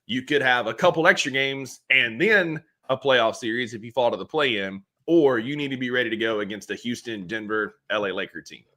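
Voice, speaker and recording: A man co-hosts a sports talk show. His bright, forward voice sits in an ordinary range. He rambles through his points with fillers, restarts and asides. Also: medium pitch, a little fast, hardly any pauses. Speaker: podcast co-host.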